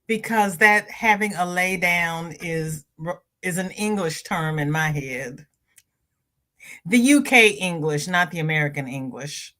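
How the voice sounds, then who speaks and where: A woman hosting a crochet livestream, chatting casually and reading viewer comments. She sounds stuffy-nosed and greets viewers by name with high, bright lifts in her voice. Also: expressive, a little slow. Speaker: crochet channel host.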